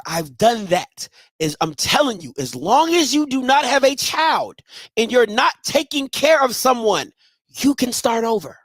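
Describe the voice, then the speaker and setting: A man in his thirties from Maryland hosting a live show, giving blunt, emphatic advice to listeners and sometimes shouting. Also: extremely high pitch, no room sound. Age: 30s. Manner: emphatic, blunt, swings between soft and shouting. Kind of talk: casual advice talk to listeners.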